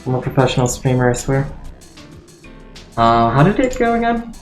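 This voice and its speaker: A man's voice: medium pitch, big pitch swings, normal speed. A young man chatting on a live game stream, rambling, distracted and poking fun at himself. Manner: rambling, self-mocking, easily distracted. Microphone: home streaming mic. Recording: echoey room with game music.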